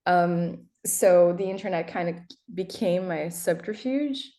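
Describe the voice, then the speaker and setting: Chatty woman, lower voice. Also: a little slow, mellow. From Brazil. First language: Portuguese. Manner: chatty, laughs often, many fillers and restarts. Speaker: guest, erotic writer. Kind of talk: casual interview chat.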